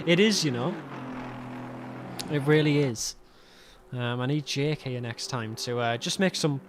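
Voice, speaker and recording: A young man calls a sim race on his own in a fairly high voice, chatty and quick to laugh at himself. His voice is going slightly hoarse, and clear racing car engines run under him. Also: noisy background. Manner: chatty, self-mocking, laughs often. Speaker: race commentator. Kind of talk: solo sim racing commentary. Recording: game car sounds behind.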